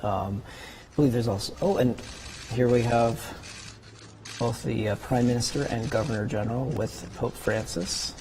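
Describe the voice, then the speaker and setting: A man anchoring live news coverage from a studio as host, commenting in a hesitant, halting way. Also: medium pitch, fairly even intonation, poor audio. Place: broadcast studio. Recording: clear background noise.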